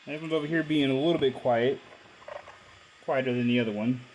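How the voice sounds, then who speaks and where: A man's voice: mid-range voice, brisk, darkish tone, slight background noise, poor audio. A man giving an informal hands-on repair demonstration, thinking aloud as he tests things. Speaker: hobbyist repairer presenting a video.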